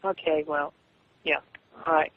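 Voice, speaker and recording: A man on a phone call, arguing defensively in a halting, repetitive way. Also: a little high, little pitch movement. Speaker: webcomic artist. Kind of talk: phone argument. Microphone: telephone.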